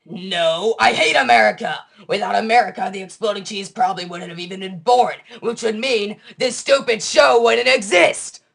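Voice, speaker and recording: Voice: medium pitch, normal speed, vocal fry, few pauses, fairly bright tone. An actor voicing a cynical, exasperated cartoon man who rants sarcastically in a comedic web series. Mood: ranting, gleeful. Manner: sarcastic, ranting.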